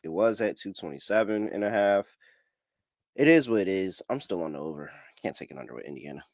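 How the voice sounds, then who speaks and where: Low-voiced male sports podcast host. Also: lively intonation, a little fast, darkish tone, dry recording, clean studio sound. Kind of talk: casual chat, ad reads and betting picks.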